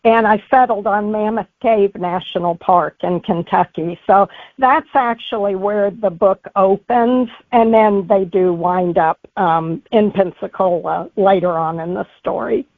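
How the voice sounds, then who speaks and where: A woman's voice: mid-range voice, steady tone, unhurried, hardly any pauses, no room sound, phone-quality sound. Lady author answering interview questions, chatty, thin phone sound, totally dry. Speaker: author guest.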